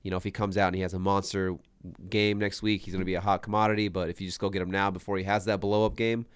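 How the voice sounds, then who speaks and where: A man's voice: low voice, level tone, fast talker, smooth, dry recording. A young man with a stuffy, congested voice, co-hosting a fantasy football podcast in casual, emphatic chat. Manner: emphatic, repeats his points. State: congested from a sinus infection.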